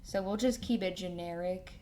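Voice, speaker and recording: A woman narrating a casual software tutorial as its host, working at a computer indoors and sometimes murmuring to herself. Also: fairly low pitch, normal speed, a little hiss or hum.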